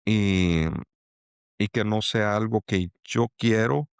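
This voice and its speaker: A middle-aged man from El Salvador with a Salvadoran accent and a low, gravelly voice. He talks in a halting, reflective way, with lots of fillers and restarts. The sound is dry and close. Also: fairly even intonation, no echo. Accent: Salvadoran Spanish. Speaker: angel investor guest. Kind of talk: reflective interview talk.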